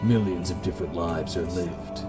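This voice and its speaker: A solemn, otherworldly horror-game entity, read by a man, speaks in a low, hushed voice. The delivery is slow and portentous, with atmospheric music clearly behind it. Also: fairly even intonation, close to an everyday voice. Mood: ominous, solemn.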